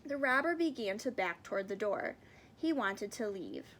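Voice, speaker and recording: Lady reading a kids book out loud, expressive, up and down pitch, some background noise. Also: normal speed. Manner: expressive storytelling. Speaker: storybook reader. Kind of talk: children's picture book read-aloud.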